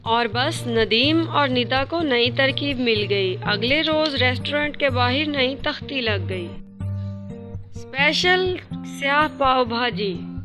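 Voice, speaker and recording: Woman narrator reading a moral story, high voice, takes it slow, music behind.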